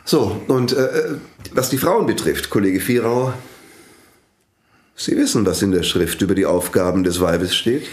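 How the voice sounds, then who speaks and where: An actor in a German radio play playing a fervent, self-important priest with a preacherly, impatient manner. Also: male voice, fairly low pitch, expressive, measured pace, slight background noise. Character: fervent, domineering priest who invented a world language.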